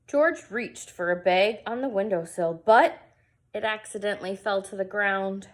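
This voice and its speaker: A woman's voice: mid-range voice, a little slow. A woman reading a picture book aloud to young children, expressively and with casual asides. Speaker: preschool storyteller.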